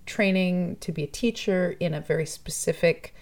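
A woman's voice: on the low side, normal speed, darkish tone. A woman from Toronto, a musician, talking in a casual interview in a thoughtful, chatty way and laughing often. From Toronto, Canada. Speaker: musician and guest.

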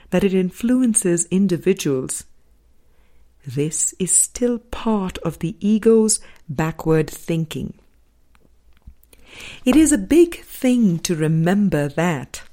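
Slow, mellow woman reading aloud. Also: fairly low pitch. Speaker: audiobook reader. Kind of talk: measured reading of a spiritual book.

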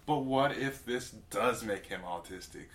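A young man talking as a podcast guest in casual chat, quiet-voiced, blunt and joking. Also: medium pitch, normal speed.

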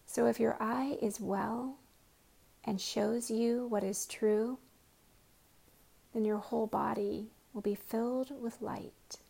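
Slow, breathy woman guiding meditation. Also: on the high side, fairly even intonation. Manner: slow, soft, often whispered guidance. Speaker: guided meditation teacher.

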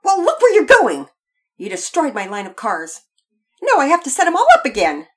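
A woman voicing a whiny, furious little girl who shouts and screeches, half crying, in a children's story. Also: sits high, huge pitch swings, moderate pace, voice pushed up.

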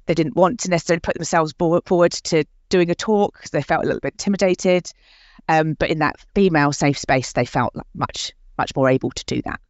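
A woman's voice: low voice, no room sound, highs cut off. A woman hosting a podcast interview, talking in a chatty, enthusiastic way with many fillers. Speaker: podcast host.

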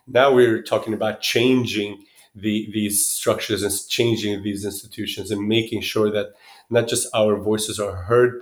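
A man in his thirties from Sweden speaking reflectively as an interview guest, often pausing and restarting as he searches for words. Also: fairly low pitch. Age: 30s.

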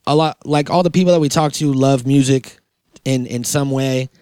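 A man's voice: mid-range voice, moderate pace, mellow, clear, resonant tone, dry recording, clean studio sound. A man hosting a podcast in casual, informal, slangy chat. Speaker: podcast host.

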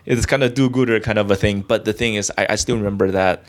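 A young man from China, a guest in an interview, talking in a halting way with many restarts and fillers. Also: lowish voice, fairly even intonation. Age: young adult. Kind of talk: casual interview talk.

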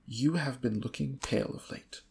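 Guy reading as sad middle aged victorian suitor, plaintive, storybook drama, gravelly. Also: mid-range voice, moderate pace, a little hiss or hum, lightly acted. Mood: wounded, placating. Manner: melodramatic, plaintive. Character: lovelorn, flabbergasted, gloomy social-climbing Victorian gentleman, middle-aged.

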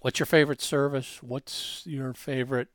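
A man's voice: medium pitch, flat delivery, fairly bright tone, no echo, clean studio sound. A man hosting a podcast in a conversational demo style, emphatic and often restarting his words. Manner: emphatic, halting with repeated word starts. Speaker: podcast host.

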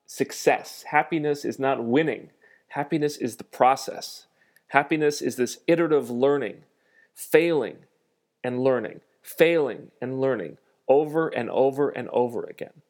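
A man's voice: mid-range voice, unhurried, darkish tone. Man, nasal voice, solo podcast host, reflective, self-deprecating, thinking aloud.

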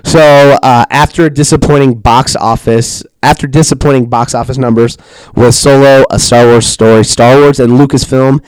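A man's voice: mid-range voice, fairly even intonation, moderate pace, hardly any pauses, darkish tone, smooth, no echo, low-quality recording. Laid-back young man hosting a chat. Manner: laid-back, laughs often, swears casually. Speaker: podcast host. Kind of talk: casual chat.

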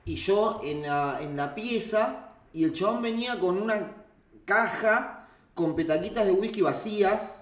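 Higher-voiced Argentine man in an echoey room. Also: measured pace. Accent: Rioplatense Argentine. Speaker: interview guest. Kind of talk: casual chat, personal anecdotes. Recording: roomy, echoey sound.

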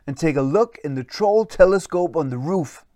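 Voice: medium pitch, lively intonation, normal speed, dark tone, no room sound, clean studio sound, natural voice. A storyteller voicing a cheerful, fatherly troll who encourages and explains in a children's bedtime story. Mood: encouraging.

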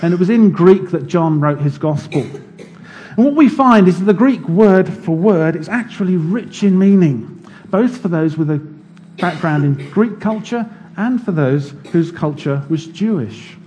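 A man preaching a sermon in a church, explaining scripture in a measured teaching manner. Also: sits high, lively intonation, warm tone, muffled recording.

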